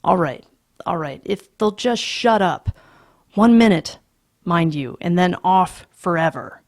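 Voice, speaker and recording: A woman's voice: mid-range voice, lively intonation, measured pace, gravelly, no room sound, studio quality. A woman doing a stern, fed-up father. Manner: blunt, forceful outbursts. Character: stern middle-aged father, fed up and firm.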